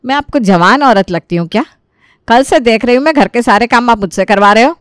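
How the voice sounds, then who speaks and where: Bossy middle-aged mother-in-law, voiced by an actress, sarcastic and complaining, animated family tale, dry close sound. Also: a little high, brisk, smooth, dry recording, natural voice. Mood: indignant. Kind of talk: Hindi animated moral story acting.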